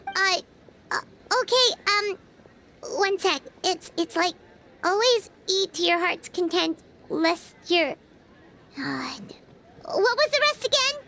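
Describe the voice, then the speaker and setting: A woman voicing a small, childlike, excitable and easily flustered fairy companion in a video game. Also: very high-pitched, slow pace, long pauses, slight background noise, exaggerated voice. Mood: flustered, hesitant. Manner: speaks of self in third person, stammering.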